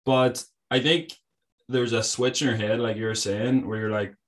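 A young man hosting a podcast, relaxed and casual, with a fairly even, monotone way of talking. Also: medium pitch, normal speed. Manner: relaxed, laughs, self-described monotone.

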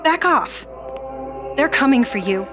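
Woman playing a slow, hesitant, anxious heroine. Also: high-pitched, expressive. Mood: frightened, defiant. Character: nervous but loyal young woman monster hunter. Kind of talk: radio drama acting. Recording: loud music over voice.

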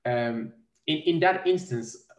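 A man's voice: mid-range voice, expressive, slow. A man from Cameroon conducting a casual interview with hesitant, searching phrasing. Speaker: interviewer, host. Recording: echoey room, distant from mic.

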